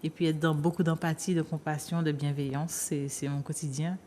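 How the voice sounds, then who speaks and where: A woman therapist, a guest on a talk show, explaining her work calmly with some hesitant fillers. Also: deep voice, fairly quick, slight background noise. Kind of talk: interview answers.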